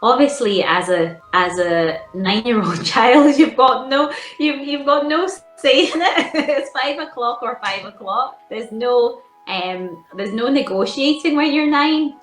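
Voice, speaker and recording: A woman guest telling childhood stories in a measured, reflective way, with frequent ums. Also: a little high, expressive, reverberant, soft background music. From England. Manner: measured, reflective storytelling with fillers. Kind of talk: casual chat.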